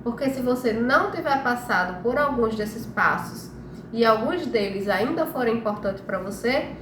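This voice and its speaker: Congested, higher-pitched Brazilian woman. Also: animated, normal speed. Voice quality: slightly nasal from a cold. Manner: conversational, frequent check-in tags. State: congested, recovering from flu. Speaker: live stream host giving health advice. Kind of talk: informal explanatory live talk. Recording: echoey room with clear background noise.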